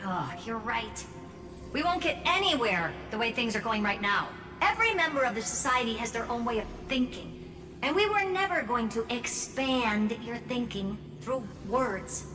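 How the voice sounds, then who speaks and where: A fairly high, lively voice, a composed, reasoning scholar in a video game. It echoes noticeably from a little distance, over clear music. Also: female voice, moderate pace. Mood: resigned, composed.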